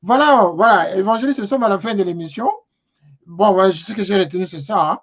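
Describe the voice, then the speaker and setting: Hesitant high-voiced TV host man. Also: brisk, fairly bright tone, highs cut off. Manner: hesitant, many fillers and restarts. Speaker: TV show host. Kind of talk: hosting a TV talk show.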